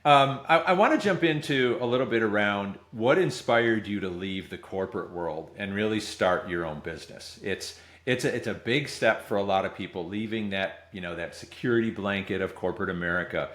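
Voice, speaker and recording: A man's voice: on the low side, a little fast, hardly any pauses, a bit bright. A middle-aged man hosting a podcast, interviewing a guest in a casual, conversational way. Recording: roomy, echoing sound.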